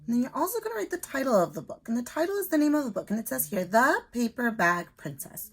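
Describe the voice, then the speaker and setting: A woman's voice: on the high side, very animated, fast, breathy edge. A woman teacher reading aloud and explaining a simple lesson to young children step by step. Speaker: elementary school teacher.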